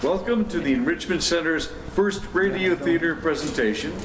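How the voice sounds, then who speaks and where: A man's voice: on the high side, normal speed, loud music behind the voice. A man giving a scripted welcome announcement to introduce a community theater performance. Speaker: event announcer. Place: indoor community theater space. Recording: echoey, noisy room recording.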